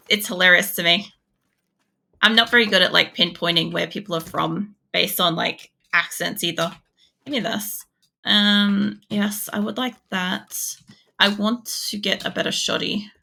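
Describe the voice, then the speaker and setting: A woman's voice: fairly low pitch, vocal fry, bright, forceful tone. A young Australian woman with an Australian accent streaming a game, chatting casually with viewers, rambling with lots of fillers and swearing.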